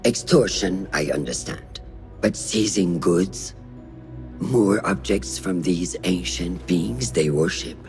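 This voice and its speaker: An actor playing a calm, analytical member of a secret order, measured and serious, in a video game. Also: male voice, sits low. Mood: sober. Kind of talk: video game cutscene acting. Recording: music behind the voice.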